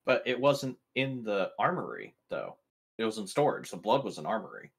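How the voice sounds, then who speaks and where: A man with a low voice plays in a tabletop game. His talk is casual and wry, moving between jokes and probing questions. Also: wildly swooping pitch, moderate pace, vocal fry, husky. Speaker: player in tabletop role-playing game.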